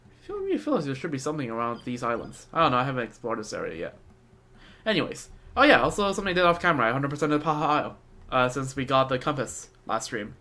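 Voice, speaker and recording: A man doing casual live game commentary as a streamer, rambling and halting as he repeats words. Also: mid-range voice, very animated, bright, forceful tone. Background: game music.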